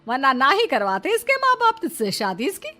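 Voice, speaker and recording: A woman's voice: high voice, huge pitch swings, fairly quick, sharp tone, faint music behind, pitched above the performer's own voice, exaggerated voice. A woman voicing a long-suffering middle-aged mother-in-law in a story, protective and pleading in an earnest, plaintive way. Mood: indignant. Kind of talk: dramatised Hindi moral story.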